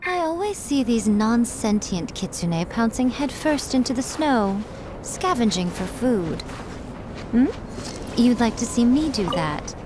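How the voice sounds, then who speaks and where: A woman's voice: a little high, very animated, measured pace, background noise. A woman voicing a sly, playful young woman in a fantasy video game, with a teasing drawl.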